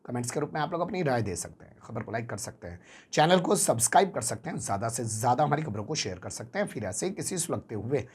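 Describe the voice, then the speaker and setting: A man's voice: mid-range voice, very animated, fast, darkish tone, slightly rough. A man presenting news commentary, sarcastic and full of rhetorical questions to the viewer.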